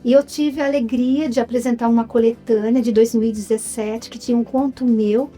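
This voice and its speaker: Mellow-voiced Brazilian woman guest. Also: a little high, steady tone, fairly quick, faint music behind. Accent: Brazilian Portuguese. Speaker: guest, writer and film producer. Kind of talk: casual interview chat.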